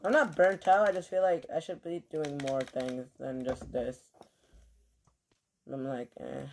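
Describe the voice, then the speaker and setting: A man's voice: a little high, little pitch movement, unhurried, a little mellow, slight background noise. A man chatting casually to his stream while he plays, rambling with lots of fillers and offhand swearing, keyboard clicks behind him. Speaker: game streamer.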